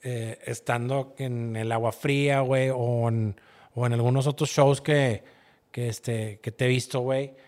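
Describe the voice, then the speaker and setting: A man's voice: medium pitch, fairly even intonation, unhurried, a little mellow. A man, chatting casually on a podcast in an earnest, rambling way full of slang.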